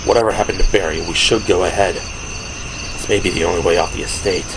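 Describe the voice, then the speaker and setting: A man voicing a gruff, weary male police operative in an audio drama, with a gravelly voice and terse, serious delivery. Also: low voice, steady tone, a little fast, pitched below the performer's own voice. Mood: resolute. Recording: noisy, roomy, distant from mic.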